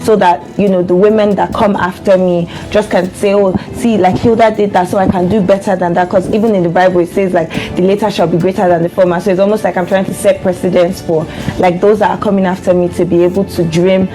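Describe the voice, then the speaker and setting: A woman's voice: lowish voice, level tone, fast, few pauses, mellow, soft background music, rough recording. A young woman speaking earnestly in a TV studio interview on a lapel mic, often saying "like". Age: young adult. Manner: earnest, uses many "like" fillers. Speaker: TV interview guest.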